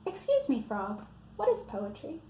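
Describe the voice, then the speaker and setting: A woman reads as a courteous, curious little storybook boy, eager in manner. The voice sits high and mellow, in an echoey room. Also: huge pitch swings, a little slow. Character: curious, polite young boy in a picture book. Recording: roomy echo, faint background noise.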